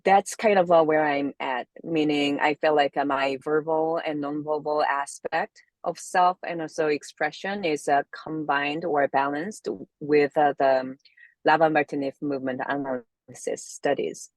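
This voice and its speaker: A woman's voice: sits low, fairly even intonation, measured pace, no echo. A woman from South Korea with a Korean accent, speaking reflectively as an interview guest and often searching for words. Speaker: interview guest, somatic movement therapist.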